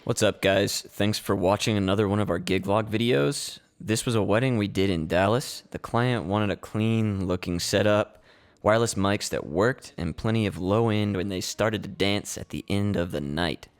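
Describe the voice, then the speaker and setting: A man's voice: lowish voice, normal speed. An American man hosting a casual gig vlog as a live sound engineer. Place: event venue during setup. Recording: noisy, reverberant venue sound.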